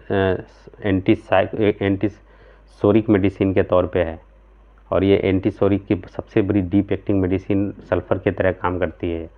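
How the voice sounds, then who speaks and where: A man in his thirties talks to camera from a small room at home, explaining remedies in an earnest, step-by-step way. His voice sits low and stays flat in pitch. The recording is thin and tinny, like a phone line. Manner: earnest, explanatory, gesturing with both hands, frequent "theek hai" check-ins. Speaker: homeopathic practitioner.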